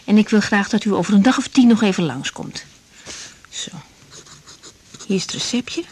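A woman's voice: medium pitch, lively intonation, normal speed, a little mellow, breathy edge. An actress playing a caring but easily indignant young woman doctor in a naturalistic radio drama, speaking with hesitant, broken phrases. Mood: concerned, businesslike. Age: young adult.

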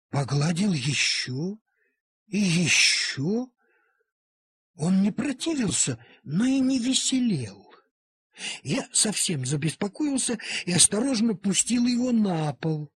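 A man reading a literary animal story aloud in a theatrical, deliberate manner with dramatic pauses. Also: high voice, big pitch swings, breathy edge, no echo, clean studio sound. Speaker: storyteller, audiobook reader. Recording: cassette transfer of audio play.

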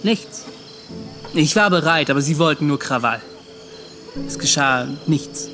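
A man's voice: on the high side, expressive, a little slow, music in the background. A man acting in a German radio play as a haunted, defiant young ship's officer who tells of a shipwreck in an agitated, dramatic way. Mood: tense, bitter. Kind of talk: German radio play acting, intense first-person narration.

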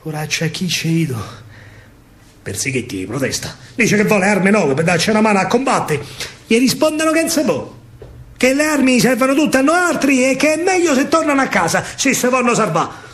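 A man's voice: high-pitched, big pitch swings, fairly quick, fairly bright tone. A man with a Roman accent performing a dramatic verse monologue as a storyteller, declamatory and emphatic. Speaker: actor and storyteller. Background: music.

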